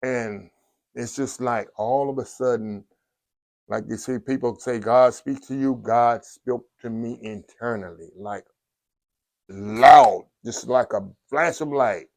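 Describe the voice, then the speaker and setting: A man's voice: medium pitch, slow, creaky, darkish tone, dry recording. An earnest man in his fifties with a drawl tells a heartfelt personal story straight to his viewers, circling back over his words. His voice sits mid-range, recorded dry and close in a small room. The tone stays plain and sincere. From Texas. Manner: earnest, repeats phrases, addresses viewers as y'all. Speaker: vlogger sharing a personal story. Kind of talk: emotional personal testimony.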